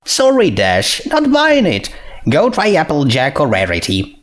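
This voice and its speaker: A man's voice: on the high side, wildly swooping pitch, measured pace, a bit bright, voice pushed up, exaggerated voice. A man voicing a bookish young pony princess with a dry, incredulous, dismissive manner, in a storybook reading. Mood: curt.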